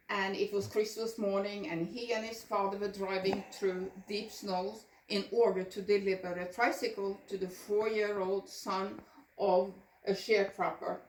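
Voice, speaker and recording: An older woman delivers a prepared talk about her own views. Her phrasing is deliberate and earnest. The sound is distant from the microphone, with clear room echo around her medium-pitched voice. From Norway. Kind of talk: prepared personal presentation.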